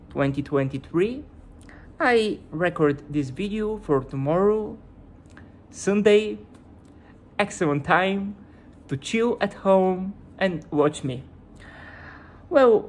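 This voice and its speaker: Slow, low-voiced Bulgarian-accented woman. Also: a little hiss or hum. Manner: hesitant, searching for words. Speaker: YouTuber. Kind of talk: casual vlog commentary.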